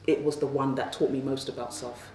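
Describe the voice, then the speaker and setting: A woman on a festival panel, speaking thoughtfully and personally about writing, slowing on key phrases. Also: extremely low pitch, little pitch movement, darkish tone, slight background noise. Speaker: panelist, novelist. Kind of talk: reflective panel talk. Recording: echoey room, mic at a distance, faint ambience.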